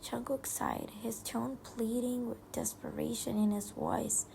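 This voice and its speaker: A woman reads a story in a breathy, unvoiced whisper, moving slowly and haltingly through the lines. Her whisper carries a heavy gravelly fry, and faint noise sits behind her. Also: fairly high pitch, level tone, dark tone, low-quality recording. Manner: slow, halting read-aloud whisper. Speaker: fan fiction reader.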